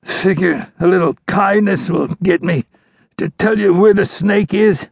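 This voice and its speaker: Western audiobook: wounded outlaw, defiant and sneering, gravelly strain, high-pitched, words dragged out. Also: male voice, no room sound, studio quality, heavily acted. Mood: bitter, groaning. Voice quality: strained, slurred, groaning. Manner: drawn-out, sneering, contemptuous. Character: dying wounded Old West outlaw, bitter and defiant. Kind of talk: western audiobook character acting.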